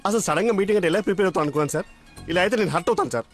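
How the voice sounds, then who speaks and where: An actor playing a brash young bluffer in a comedy film, blustering pompously and dropping in English phrases. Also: male voice, high voice, expressive, racing, faint music behind. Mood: flustered bluster. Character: brash, excitable young schemer bluffing his way as a suitor. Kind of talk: broad comedy film acting.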